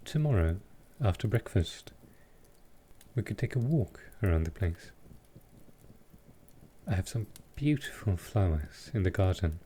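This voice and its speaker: A man playing a gentle, courteous centuries-old vampire in an intimate roleplay audio, soft-spoken and reassuring, with a calming, unhurried delivery. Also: low-pitched, slight background noise. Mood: soothing, warm.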